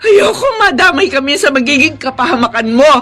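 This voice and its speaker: A woman acting as an anguished, pleading young wife and mother in a Filipino radio drama, with an emotional, drawn-out delivery. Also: high-pitched, lively intonation, a bit bright. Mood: sad, resolute.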